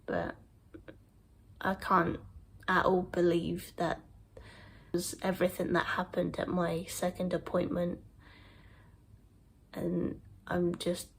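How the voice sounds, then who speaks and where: Slow, gravelly-voiced young man with a cold. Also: high-pitched, completely flat delivery. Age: 20s. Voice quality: slightly nasal, snotty. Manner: hesitant, soft-spoken, with restarts and pauses. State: congested from a cold. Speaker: vlogger. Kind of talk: personal vlog recap.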